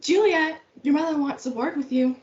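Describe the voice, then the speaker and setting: A woman's voice: high voice, moderate pace, darkish tone, close to an everyday voice. A young woman playing a matter-of-fact female nurse and servant in a student reader's theatre performance. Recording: dull audio, faint noise.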